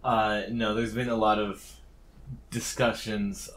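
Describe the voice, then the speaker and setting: Very low-voiced woman running a tabletop game. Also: level tone, takes it slowly. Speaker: game master. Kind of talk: casual tabletop game narration and banter.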